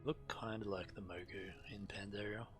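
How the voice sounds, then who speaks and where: Low-voiced man narrating a video game. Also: fairly even intonation, normal speed. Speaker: game streamer. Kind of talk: reading quest text aloud with casual gameplay commentary. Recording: game music playing behind. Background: video game music.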